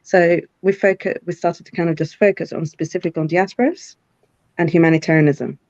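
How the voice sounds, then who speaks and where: A woman's voice: fairly low pitch, steady tone, fairly quick, darkish tone, no room sound, muffled recording. A middle-aged woman, a CEO guest in a live online interview who talks thoughtfully with many fillers and restarts. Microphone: video call.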